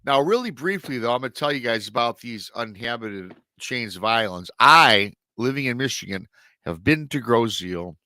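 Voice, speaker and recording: A man's voice: mid-range voice, lively intonation, normal speed, pushed and bright, no echo. A middle-aged man hosting a live show, retelling a strange encounter story in a chatty way, sounding nasal and a little scratchy from a lingering illness. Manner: chatty asides to viewers. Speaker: paranormal show host.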